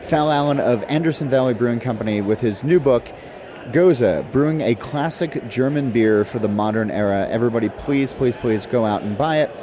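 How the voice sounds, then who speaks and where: A man's voice: mid-range voice, normal speed, crowd noise. A man hosting a podcast, chatting casually with a guest and reading plugs at the close. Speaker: podcast host. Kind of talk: casual interview and show sign-off.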